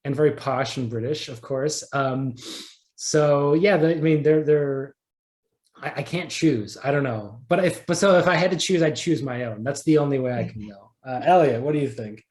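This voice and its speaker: A man's voice: mid-range voice, normal speed, a little mellow. A man speaking as a podcast guest in casual interview chat, enthusiastic and rambling, often starting over and repeating words. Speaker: podcast guest, audio drama writer.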